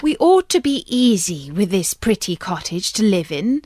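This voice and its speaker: A woman's voice: mid-range voice, big pitch swings, normal speed, a little mellow, no room sound, studio quality. A woman voicing a meek, reluctant fisherman in a fairy tale, with a doleful, pleading manner. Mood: worried.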